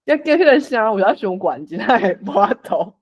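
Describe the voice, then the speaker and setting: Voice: medium pitch, big pitch swings, normal speed, slightly rough, dull audio. A speaker with a Taiwanese accent informally hosting an online discussion and inviting others to share. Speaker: forum host. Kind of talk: informal online event moderation.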